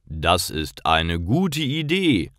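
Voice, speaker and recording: A man voicing Karl, a friendly and encouraging young man in a learner's story, speaking with clear articulation. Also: deep voice, very animated, very slow, fairly bright tone, no room sound, clean studio sound, exaggerated voice.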